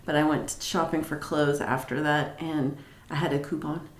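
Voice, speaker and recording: Rambling woman with a low voice. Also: fairly even intonation, moderate pace, reverberant. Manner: chatty, rambling, many fillers and restarts. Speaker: livestream host. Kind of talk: casual live chat reading comments.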